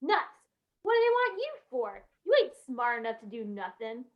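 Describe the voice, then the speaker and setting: A woman's voice: fairly high pitch, big pitch swings, moderate pace, lo-fi sound. A woman playing a tough, bratty boy in a 1950s radio play, talking in defiant, mouthy slang. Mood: cocky, scornful.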